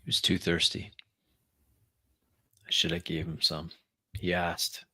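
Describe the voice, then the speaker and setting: Deep-voiced man roleplaying, bright edge. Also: completely flat delivery, slow, slightly rough. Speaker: player in a tabletop roleplaying game. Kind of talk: improvised in-character roleplay and first-person narration.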